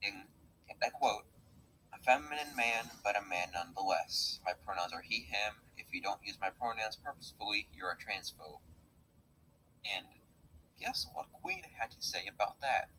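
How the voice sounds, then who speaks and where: A man's voice: on the low side, expressive, normal speed, sharp tone, slight background noise, poor audio. A man in casual online commentary, reading out quotes and making his points. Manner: reads out quotes and argues points.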